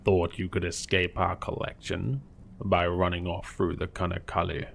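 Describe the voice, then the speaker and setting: A man's voice: low voice, flat delivery, normal speed, creaky, fairly bright tone, a little hiss or hum. A man doing a sneering, jack-o'-lantern-masked villain. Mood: menacing. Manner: sneering, mocking. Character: mocking menacing man with jack-o'-lantern mask.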